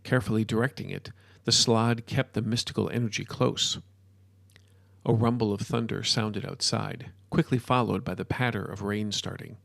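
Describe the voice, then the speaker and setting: A man's voice: fairly low pitch, fairly even intonation, normal speed, vocal fry, fairly bright tone. A man reading scripted narration as the narrator of an audio story.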